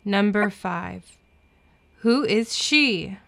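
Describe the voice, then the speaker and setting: A woman's voice: mid-range voice, animated, very slow, creaky, fairly bright tone. A woman reading scripted English lesson lines for children, carefully enunciating each phrase.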